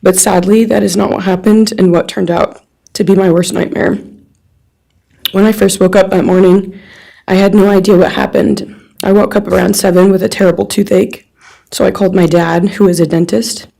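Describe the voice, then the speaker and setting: A woman's voice: mid-range voice, level tone, moderate pace, vocal fry, warm tone. A young woman reading a written personal statement aloud in a courtroom on someone else's behalf. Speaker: friend reading a victim's statement on their behalf.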